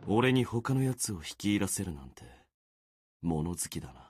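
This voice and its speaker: A man voicing a gloomy, self-deprecating young warrior sword spirit in a video game, brooding and resigned, with a husky voice. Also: very animated, a little mellow, no room sound, full character voice. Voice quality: low, husky. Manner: brooding, resigned, muttering with trailing pauses. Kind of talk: Japanese video game character acting.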